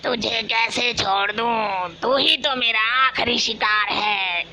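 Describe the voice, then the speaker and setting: Woman voicing a creaky, menacing cartoon witch. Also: fairly high pitch, fairly even intonation, slight background noise, heavily acted. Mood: gloating menace. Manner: menacing, slow, drawn-out threats. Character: wicked, gloating witch craving immortality through human sacrifice. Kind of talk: Hindi horror cartoon voice acting. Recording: loud music under the voice.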